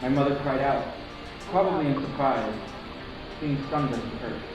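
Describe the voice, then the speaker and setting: A man playing a reflective young son who narrates his family's past in a measured, literary dramatic reading. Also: mid-range voice, close to an everyday voice. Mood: matter-of-fact. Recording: echoey, distant, music underneath. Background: music.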